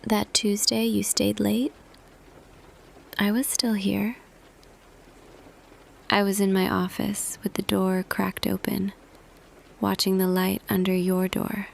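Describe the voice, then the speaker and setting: A woman playing a tender female boss confessing love to an employee in an intimate roleplay audio, hushed and hesitant, slipping between whisper and soft voice. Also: medium pitch, flat delivery, slow, creaky, long pauses. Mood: confessional, longing.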